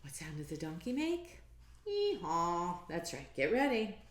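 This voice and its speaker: A woman's voice: on the low side, very animated, echoey room. A woman reading a picture book aloud to children in a sing-song, encouraging way, with asides to her young listeners. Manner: encouraging, interactive, drawn-out sing-song phrasing.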